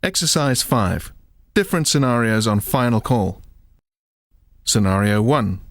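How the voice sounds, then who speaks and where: A man's voice: mid-range voice, expressive, measured pace, slight background noise. A man giving short scripted announcements of exercise scenarios.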